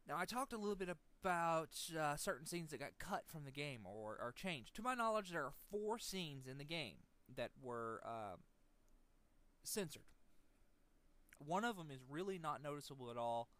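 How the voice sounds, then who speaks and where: Man doing halting, casual game commentary. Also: a little high, animated, a bit bright. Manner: halting, hedging, repeats words while thinking. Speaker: let's play commentator.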